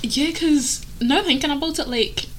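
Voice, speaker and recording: A woman's voice: high-pitched, a little hiss or hum. A young woman with a Glaswegian accent, chatting casually and often breaking into giggles. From Glasgow, Scotland. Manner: giggly, quick to laugh. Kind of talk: casual chat.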